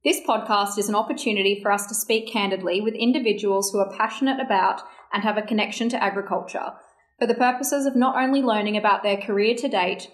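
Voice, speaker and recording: A woman hosting an interview podcast, speaking in formal, carefully worded phrases as she introduces and questions a guest. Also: mid-range voice. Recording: roomy, echoey sound.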